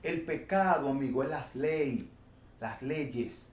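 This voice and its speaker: A man's voice: medium pitch, slow pace. A Dominican man with a Dominican Spanish accent, preaching his views animatedly in an informal Bible discussion, often restarting his sentences. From Dominican Republic. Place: New York. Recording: echoey room, faint noise.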